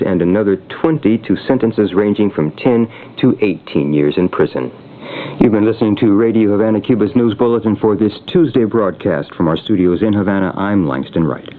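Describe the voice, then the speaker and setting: Male radio newsreader, lowish pitch, formal and measured scripted delivery, heard through thin shortwave band. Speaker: radio news bulletin reader. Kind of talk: scripted news reading. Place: radio studio. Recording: narrow tinny shortwave radio broadcast.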